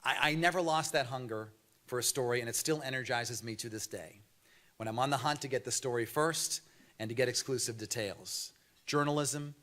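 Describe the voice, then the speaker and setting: A man in his fifties with a mild Boston accent, a TV news anchor giving a prepared award acceptance speech at a podium, earnest and measured with light storytelling humor. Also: medium pitch, fairly bright tone. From Boston area, USA. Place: banquet hall podium. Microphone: podium microphone.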